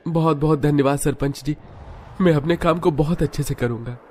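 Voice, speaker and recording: A man's voice: a little high, fairly quick, a little mellow, natural voice. A man voicing earnest village men in a cartoon moral story, speaking emphatically. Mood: humble. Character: grateful village headman and humble poor young husband.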